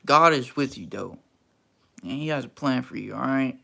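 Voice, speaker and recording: A man's voice: mid-range voice, lively intonation, normal speed, gravelly, pushed and bright. A young man from Texas, hosting a casual livestream and answering chat in a laid-back, slangy, teasing way. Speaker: livestream host reading chat.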